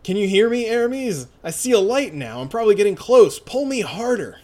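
Man putting on an urgent, high-pitched tough young woman. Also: expressive, brisk.

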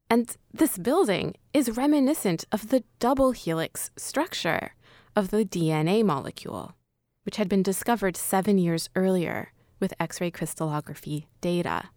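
A woman's voice: medium pitch, a little mellow, no room sound, clean studio sound. A woman hosting a radio documentary, reading scripted narration. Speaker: host.

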